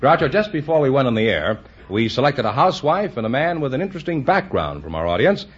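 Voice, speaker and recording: A man announcing a radio quiz show, reading the opening in a booming, showman-like delivery. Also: big pitch swings, quick pace, husky. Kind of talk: scripted broadcast announcing. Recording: muffled 1950s radio transcription with music.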